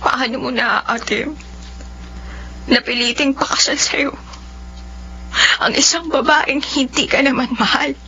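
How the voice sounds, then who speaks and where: A tearful young bride-to-be, high and bright. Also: female voice, unhurried, pauses often, full character voice. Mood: defiant. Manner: pleading, tearful. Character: young woman forced into marriage, tearful. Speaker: Nanette, heroine of a radio drama. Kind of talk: radio drama acting. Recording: muffled lo-fi audio with faint hum.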